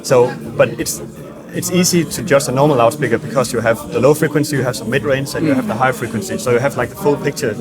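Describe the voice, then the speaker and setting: A man's voice: medium pitch, quick pace, over loud music. A man giving technical explanations as an expert in a casual interview, hesitant and repeating words as he goes. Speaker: acoustic engineer, interviewed expert.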